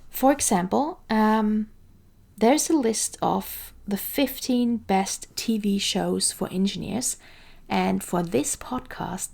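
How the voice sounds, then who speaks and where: A woman language coach hosting a solo podcast, chatty and lively with playful asides; English is not her first language. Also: medium pitch, vocal fry. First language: non-English.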